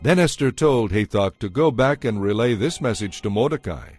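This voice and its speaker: A man's voice: on the low side, expressive, normal speed, darkish tone. A man narrating scripture as a scripted, dramatized story, with a solemn storytelling delivery. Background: music.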